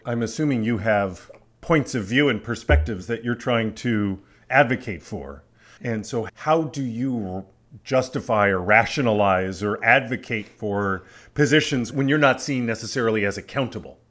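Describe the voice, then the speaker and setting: A man's voice: medium pitch, moderate pace, a bit bright. A man hosting an interview, asking analytical, carefully worded questions in a conversational way. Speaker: interviewer, podcast host.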